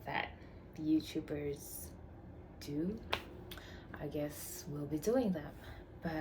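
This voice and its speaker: A young woman from Tanzania chatting casually to camera about herself, playful and chatty, dipping into whispery murmurs. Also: sits low. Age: young adult. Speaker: vlogger. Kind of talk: casual get-to-know-me chat. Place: shared home room. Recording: noisy home recording with roommates behind. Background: household noise.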